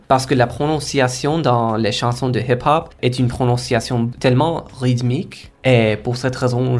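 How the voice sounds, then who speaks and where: A young man from India whose first language is Korava gives an earnest, reflective personal account. His voice is gravelly and sits at a middle pitch. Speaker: language learner and teaching assistant.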